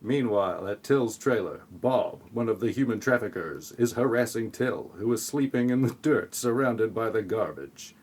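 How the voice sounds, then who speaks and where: A man narrating a scripted audio play in a tongue-in-cheek, deadpan way with sarcastic asides. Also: on the low side, normal speed, hardly any pauses, breathy edge.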